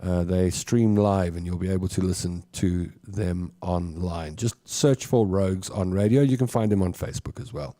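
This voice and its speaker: A man's voice: low voice, moderate pace, few pauses, mellow, no room sound, studio quality. A South African man in his fifties hosting a live show, talking casually and earnestly to his listeners in a reflective, conversational way. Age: 50s.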